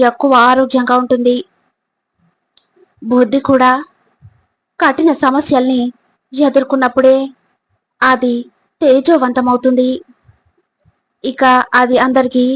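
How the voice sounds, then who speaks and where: A woman narrates scripted motivational reflections in a high voice. She goes slowly and deliberately, setting out each short phrase by itself. Her intonation stays very even from phrase to phrase. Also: no echo, rough recording. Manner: deliberate, phrase-by-phrase delivery with rhetorical questions.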